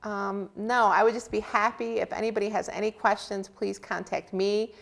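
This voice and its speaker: A woman's voice: mid-range voice, little pitch movement, moderate pace, creaky. A woman with a big voice, chatting upbeat as an interview guest from a county office for older adults. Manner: chatty, upbeat, laughs often. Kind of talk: casual interview talk.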